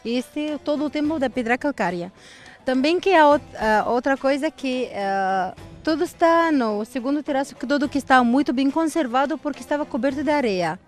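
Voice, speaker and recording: An Egyptian woman working as a tour guide outdoors, explaining history in halting learned Portuguese, with Arabic as her first language. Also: high-pitched, animated, faint music behind. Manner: halting non-native phrasing, explanatory. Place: outdoors at an ancient temple.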